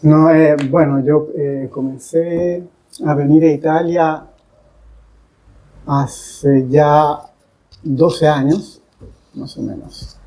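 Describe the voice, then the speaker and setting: Podcast guest, male. Relaxed, mellow tone, unhurried and measured, pausing between short phrases. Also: a little high, low-quality recording. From Chile. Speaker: guest, English teacher. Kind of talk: reflective podcast conversation.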